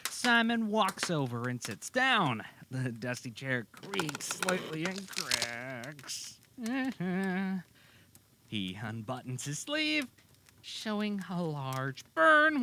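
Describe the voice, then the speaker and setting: A man's voice: on the high side, wildly swooping pitch, slow, noisy background. A man narrating an audio drama as a scripted story, in a dramatic storytelling style.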